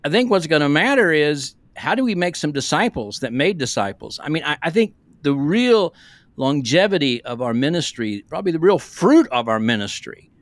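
A man's voice: fairly high pitch, dry recording. A man in his sixties, a pastor co-hosting a podcast in a casual, rambling, folksy way. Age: 60s. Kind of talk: casual conversational podcast discussion. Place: small room, remote video call. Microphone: close podcast microphone.

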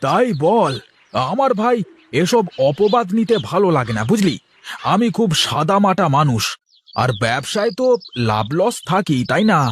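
A man's voice: high voice, very animated, moderate pace, a little hiss or hum. A man voicing a plain-living village vegetable seller in a cartoon, wheedling and defensive, full of colloquial interjections. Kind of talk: cartoon character dialogue.

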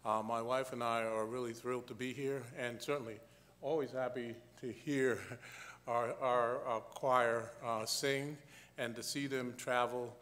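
A man, a university president, giving a brief halting address to a church congregation. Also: mid-range voice, steady tone, a bit bright. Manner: halting, with many "uh" fillers. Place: large church sanctuary. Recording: reverberant church hall.

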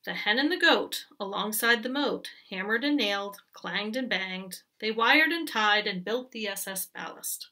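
A woman reads a children's picture book aloud, slow and unhurried, her voice bright and clear. Her pitch swings widely as she leans into the rhythm of each line, and she sits a little back from the microphone. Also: far from the mic. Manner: expressive, rhythmic storytelling. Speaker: teacher reading aloud.

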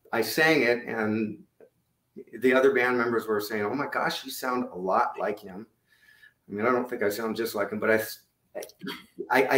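A middle-aged man with a low voice, chatting loosely about his music over a video call, with many fillers and restarts. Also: a bit bright. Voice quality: lower-range voice. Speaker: musician guest. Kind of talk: casual interview chat. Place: home room indoors. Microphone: video call webcam. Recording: echoey room on webcam.

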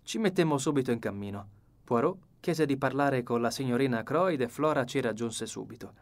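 A man's voice: medium pitch, expressive, moderate pace. A man narrating a first-person novel as an audiobook, reading from a script.

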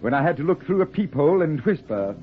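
A man's voice: on the high side, very animated, fast talker. An actor playing an urbane, witty middle-aged man-about-town in a suave, theatrical 1940s radio style. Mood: suave, amused. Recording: noisy, tinny 1940s radio broadcast.

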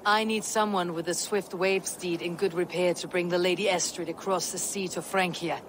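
A stoic female Viking warrior from a video game, played by an actress, pitched low for a woman. She speaks solemnly and with measured authority, with clear outdoor noise behind her. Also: steady tone, natural voice. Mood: solemn, commanding. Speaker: game protagonist. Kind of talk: video game cutscene acting.

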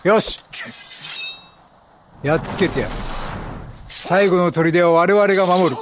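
A man's voice: high-pitched, slow pace, background noise. A man doing casual let's play commentary, playful and reactive, bantering with the characters in slang. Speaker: gaming streamer. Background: game music.

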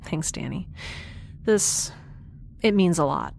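Audio drama lead woman actor: thoughtful young female scientist in space, slightly low voice, conversational, light background noise. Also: wildly swooping pitch, takes it slowly, gravelly, darkish tone, full character voice. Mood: subdued, sincere. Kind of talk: naturalistic audio drama acting.

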